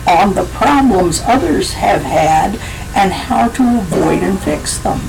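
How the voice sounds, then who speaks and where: Slow-reading woman over background noise. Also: mid-range voice. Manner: plain, self-deprecating, stumbles over words. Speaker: author reading her own story. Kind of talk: unhurried story reading, then casual sign-off.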